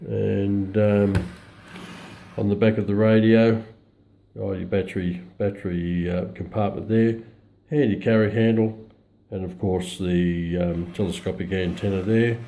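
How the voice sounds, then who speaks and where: Australian male hobbyist presenter, low gravelly register, hesitant and unscripted, informal home demonstration. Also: steady tone, mellow. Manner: hesitant, halting, low-key delivery. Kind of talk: casual unscripted product walkthrough. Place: indoors at home, radio on a countertop.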